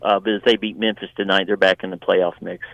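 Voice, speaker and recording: A man giving his take as a guest journalist in an unscripted sports radio interview, conversational and halting, restarting phrases as he goes. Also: deep voice, little pitch movement, creaky, no echo, highs cut off.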